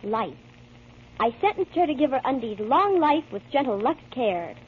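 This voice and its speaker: A woman's voice: high-pitched, big pitch swings, normal speed, breathy edge, exaggerated voice. A woman playing a chatty, mock-serious character in a scripted 1940s radio soap advertisement. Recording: tinny, phone-like old radio broadcast.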